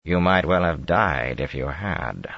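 A commanding young lord, icy and threatening, his voice deep and low, as a male narrator reads him. The recording is dry and somewhat dull. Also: expressive, unhurried, creaky, no room sound, exaggerated voice.

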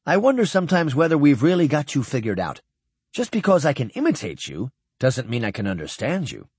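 A man's voice: medium pitch, wildly swooping pitch, a little fast, a little mellow, slightly rough, dry recording. A man voicing a playful, inquisitive alien that imitates a young officer, breezy and teasing, in a science fiction audiobook. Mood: musing.

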